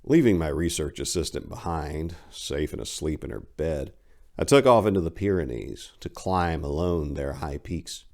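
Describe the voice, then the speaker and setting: A man's voice: very deep voice, animated, normal speed, vocal fry, a little mellow. A middle-aged man giving a dramatic reading of a first-person horror story, his narration swelling as tension builds, recorded on a lapel mic indoors. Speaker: reader of horror stories. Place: indoors in front of bookshelves.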